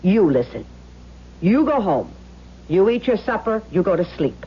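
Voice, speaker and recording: Nagging, husky, scornful wife. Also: female voice, medium pitch, big pitch swings, normal speed. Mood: scolding, sarcastic. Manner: scolding, sarcastic. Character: nagging, scornful, hard-headed shopkeeper's wife. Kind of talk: old-time radio drama acting. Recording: muffled old radio drama recording.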